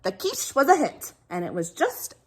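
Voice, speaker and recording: A woman teacher reading a children's storybook aloud in an expressive, emphatic way. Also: fairly high pitch, normal speed, a little hiss or hum. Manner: animated, emphatic delivery.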